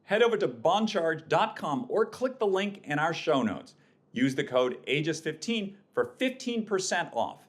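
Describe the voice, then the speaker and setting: Guy hosting a podcast, voice a bit high, really animated up and down. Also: normal speed. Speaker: podcast host. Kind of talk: podcast interview and sponsor reads.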